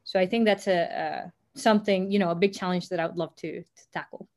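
A young woman from Costa Rica, answering interview questions thoughtfully, with frequent pauses and restarts. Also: fairly low pitch, fairly even intonation, a little mellow. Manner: thoughtful, many fillers and restarts. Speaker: guest.

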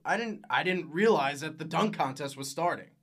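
A young man with a fairly high voice talks sports on a podcast. He is animated and opinionated, and he leans hard on his points. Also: normal speed. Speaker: podcast guest. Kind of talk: casual sports chat.

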